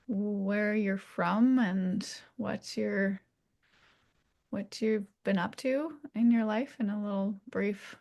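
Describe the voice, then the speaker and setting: A woman hosting a casual interview over a video call, gentle and meandering, with many fillers. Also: medium pitch. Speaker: host, interviewer.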